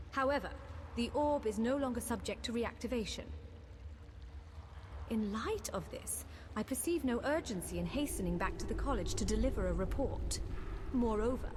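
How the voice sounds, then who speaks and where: A woman voicing a courteous, earnest young mage companion in a fantasy game, speaking formally and gently. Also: fairly high pitch, slight background noise, close to an everyday voice. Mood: earnest, pleased. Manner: formal, eloquent, gentle, measured. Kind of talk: scripted fantasy game dialogue.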